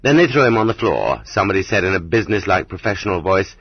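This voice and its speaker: Man, literary audiobook narration, measured and dramatic, dry close sound, slightly dull recording. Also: low voice, sing-song, breathy edge, dry recording.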